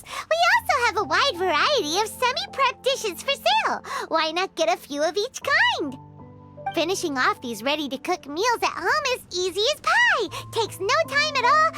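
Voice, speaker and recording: A woman voicing a tiny, bubbly childlike fairy companion in a video game, with a squeaky voice. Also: full character voice. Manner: bubbly, refers to herself in third person. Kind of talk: animated video game acting.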